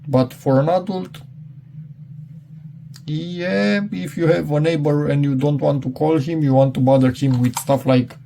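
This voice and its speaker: A man's voice: on the high side, steady tone, unhurried, creaky, mellow, a little hiss or hum. A middle-aged man giving a casual, unscripted product demonstration, hesitant and rambling as he thinks aloud. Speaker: product reviewer.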